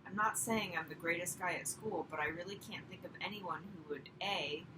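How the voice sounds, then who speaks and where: An earnest storybook schoolboy, voiced by a woman reader, confiding in his uncle. The sound is slightly distant, with clear noise in the background. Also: fairly low pitch, moderate pace, close to an everyday voice. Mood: earnest, puzzled.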